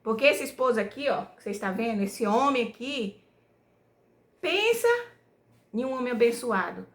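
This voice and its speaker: A woman from Bahia, telling her family story to her channel in a loose, homespun way. She keeps checking in with "né?" as she goes. Her voice sits a little high, and the room around her echoes. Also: expressive, normal speed. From Bahia, Brazil. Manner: homespun, rambling, tags nearly every phrase with "né?". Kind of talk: casual storytelling to viewers.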